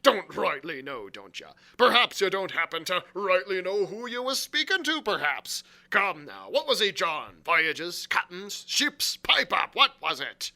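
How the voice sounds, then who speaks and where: Crafty old-salt tavern keeper for audiobook: hearty, blustering, forceful, with big swoops in pitch. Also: male voice, very animated, moderate pace, gravelly, exaggerated voice. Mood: stern, sarcastic. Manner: blustering, emphatic, salty sailor talk. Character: loud, hearty, crafty one-legged seafaring tavern landlord. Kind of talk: audiobook character acting.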